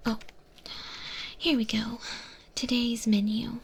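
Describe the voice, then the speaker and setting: A woman playing a patient monster woman who mentors a newcomer in a restaurant kitchen, in a hushed, breathy ASMR roleplay whisper. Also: a little high, flat delivery, heavily acted. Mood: hushed, measured. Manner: slow, hushed, gently instructive.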